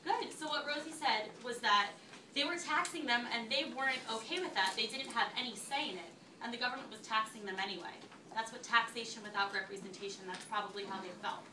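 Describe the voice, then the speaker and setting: A woman teacher reading aloud to a class in an instructive way, pausing to check understanding with questions. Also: fairly high pitch. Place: school classroom. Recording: echoey classroom, distant mic, faint noise.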